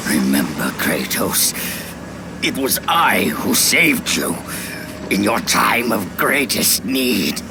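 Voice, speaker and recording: Game villain: grandiose, mocking war god, slow and menacing, hugely varied pitch, music behind. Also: male voice, big pitch swings, creaky, full character voice. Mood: menacing contempt. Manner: taunting, grandiose delivery. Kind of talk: video game villain acting. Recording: loud music over the voice.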